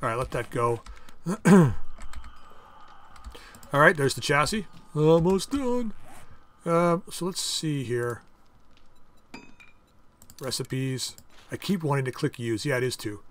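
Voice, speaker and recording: A man hosting a casual game playthrough, chatting and thinking aloud as he crafts items, with his voice going a bit hoarse. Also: mid-range voice, lively intonation, slow, pauses often, a bit bright. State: losing his voice. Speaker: gaming channel host. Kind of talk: casual let's-play commentary.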